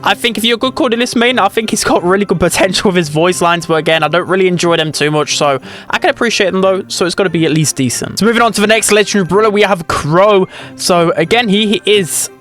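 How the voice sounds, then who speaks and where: A man's voice: high voice, quick pace, a bit bright, soft background music. A young man giving casual, chatty gaming commentary in a run-on delivery. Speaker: gaming video host.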